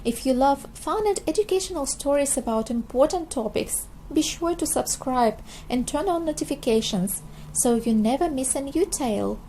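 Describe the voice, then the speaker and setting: High-voiced woman reading to small children. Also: slight background noise, poor audio. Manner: slow, expressive delivery for small children. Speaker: children's read-aloud narrator. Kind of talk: scripted storybook narration for young children.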